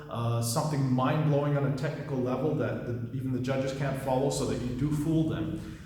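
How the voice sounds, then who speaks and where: A man's voice: mid-range voice, a little mellow. Man talking in a very echoey room. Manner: rambling, with many fillers and restarts. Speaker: magic coach and competitor. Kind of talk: casual commentary. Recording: very echoey room with long reverb.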